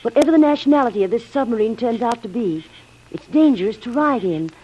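A woman's voice: on the high side, expressive, fast talker, husky. An actress playing an anxious woman castaway in an old radio serial, pleading earnestly. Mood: fearful, pleading. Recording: muffled old radio recording.

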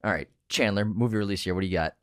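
A man's voice: fairly low pitch, very fast, no echo, studio quality. A man co-hosting a casual movie podcast, enthusiastic as he runs the quiz games. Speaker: podcast co-host.

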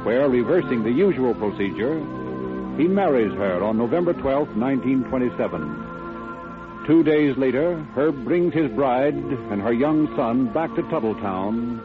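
Casting: male American narrator for vintage radio drama, formal, expressive storyteller, music underneath, thin old-broadcast sound. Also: medium pitch, unhurried, narrow, tinny audio.

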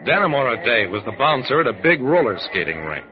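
An actor playing a wry, commanding hard-boiled detective, narrating deadpan in a 1940s-style radio drama. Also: male voice, mid-range voice, brisk, a crowd in the background, natural voice. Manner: deadpan, wisecracking. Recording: dull old radio recording.

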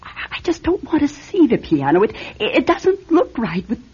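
An actress playing an anxious, sleepless wealthy widow in a radio drama, fretful and halting, often repeating her words. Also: sits high, very animated, darkish tone, heavily acted. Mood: edgy, fragile. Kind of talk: old-style radio drama acting. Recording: dull, husky-sounding radio recording with music.

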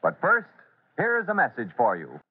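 A man's voice: a little high, huge pitch swings, moderate pace, husky. A man narrating an old radio adventure serial as its announcer, in a dramatic style. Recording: thin, tinny old radio recording.

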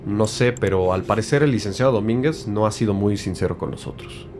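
An actor playing a helpful, low-key tech investigator, informal and matter-of-fact, in an audio drama. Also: male voice, fairly quick, a little mellow, faint music behind, natural voice.

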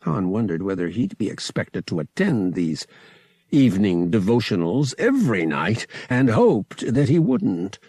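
Expressive male narrator with a husky, lively delivery. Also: on the low side, measured pace, no echo. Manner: expressive, theatrical storytelling. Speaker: audiobook narrator. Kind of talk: dramatic scripted fiction narration.

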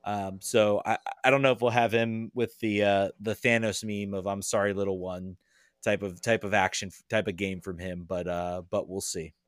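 A man giving casual soccer analysis as a podcast guest, halting with many pauses and restarts. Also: lowish voice, fairly even intonation, dry recording, studio quality.

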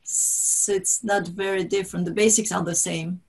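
A woman whose native tongue is French speaks English in a relaxed interview, her voice carrying a slight gravelly fry. She talks in halting bursts, correcting herself midway and laughing softly between thoughts. The sound is that of a video call. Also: on the low side, rough recording. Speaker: author, guest. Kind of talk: conversational interview.

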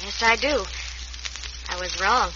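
A woman's voice: medium pitch, slow pace, lightly acted. An actress playing a plain-spoken, forthright young woman of the Old West in a radio western, with folksy, earnest delivery. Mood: tender, coy. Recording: dull, very hissy vintage radio recording.

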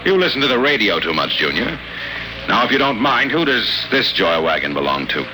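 A man's voice: medium pitch, big pitch swings, fairly quick, background noise, natural voice. An actor playing a cocky, hard-boiled male private detective in a 1950s radio drama, narrating and trading wisecracks. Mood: wry. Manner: wisecracking tough-guy banter. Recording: old radio broadcast with loud music.

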